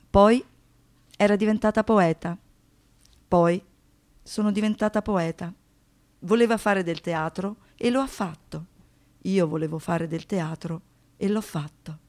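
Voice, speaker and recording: A woman giving scripted dramatic narration as a monologue, in measured, short rhythmic phrases. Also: fairly low pitch, a little mellow.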